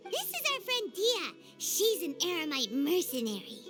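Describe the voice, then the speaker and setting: A woman voicing a small floating fairy companion, a naive, excitable little girl with a squeaky voice, in a video game. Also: moderate pace, over music. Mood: earnest. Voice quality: squeaky, childlike. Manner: refers to self in third person, naive, excitable. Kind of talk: video game character acting.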